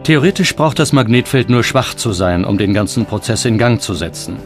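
A man's voice: on the low side, expressive, normal speed. A man reading scripted documentary narration as the narrator. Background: plucked guitar music.

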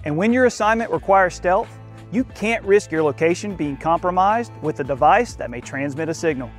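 A man's voice: on the high side, animated, normal speed, soft background music. A man in his forties, speaking outdoors to camera as a company spokesperson, presenting a product in an earnest, scripted way. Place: outdoors in a green field.

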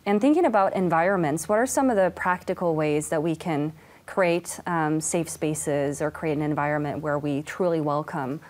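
A woman in her forties moderating a studio panel and leading into questions with smooth, affirming transitions, on a lapel mic. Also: deep voice, moderate pace, darkish tone.

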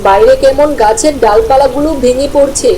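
A woman's voice: high-pitched, brisk, warm tone, slight background noise, close to an everyday voice, low-quality recording. A woman voicing a frightened, affectionate little village girl in a cartoon, pleading in a childlike way. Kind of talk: cartoon voice acting.

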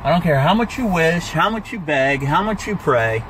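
A middle-aged man giving a blunt, emphatic motivational monologue in short, deliberate phrases while driving. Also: fairly high pitch, rough recording. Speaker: life coach. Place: inside a moving car, driving. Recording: steady car and air-conditioning noise. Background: road and car ventilation noise.

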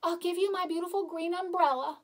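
A woman voicing a small, timid little boy in a children's storybook, speaking in a squeaky, pleading voice. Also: a little slow.

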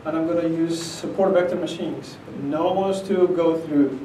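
A middle-aged Argentine-accented man speaks at a podium in a conference room, his voice set a little higher than most men's. He keeps it conversational and emphatic, checking in with the audience often. The sound is roomy and picked up from across the room. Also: moderate pace, warm tone, a little hiss or hum. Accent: Argentine Spanish. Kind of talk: academic conference talk. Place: conference room with projector screen. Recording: echoey conference room, captured from a distance.

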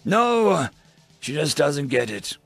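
A man voicing an agitated male rebel guard in a story, with a sharp, defensive, barking manner. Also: medium pitch, normal speed, creaky, husky, no room sound, soft background music, voice pushed up. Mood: defensive, wounded.

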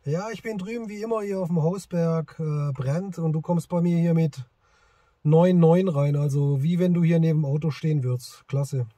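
A man's voice: a little high, normal speed. A middle-aged man, chatting casually as he tests a CB radio in a parked car. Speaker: amateur CB radio operator and vlogger. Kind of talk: casual chat and commentary while testing a radio. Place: inside a parked car in a forest. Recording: clearly noisy, radio static in car. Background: loud noise, radio hiss.

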